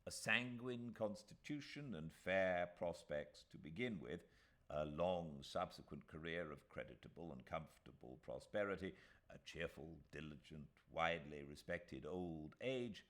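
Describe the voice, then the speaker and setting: A British man reading a classic novel aloud as an audiobook narrator, with measured, expressive phrasing. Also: low voice. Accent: British English. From Britain.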